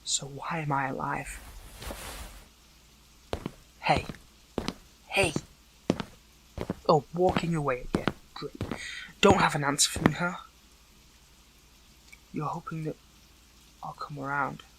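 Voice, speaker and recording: A man's voice: a little high, little pitch movement, vocal fry, bright, forceful tone, slight background noise, exaggerated voice, poor audio. Man acting tense, earnest captive hero. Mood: challenging, frustrated. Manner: halting, pleading, breaks and repeats phrases. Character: captive male superhero, earnest, hurt, questioning an old friend. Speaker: voice actor in an audio roleplay. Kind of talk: dramatic audio roleplay acting, one side of a dialogue.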